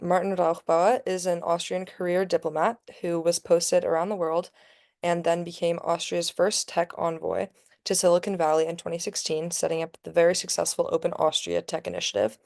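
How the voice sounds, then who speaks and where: Teenage girl, flat low introduction. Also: moderate pace, creaky. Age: teens. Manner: reads a prepared introduction. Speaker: student host introducing a speaker. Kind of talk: scripted introduction. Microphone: video call.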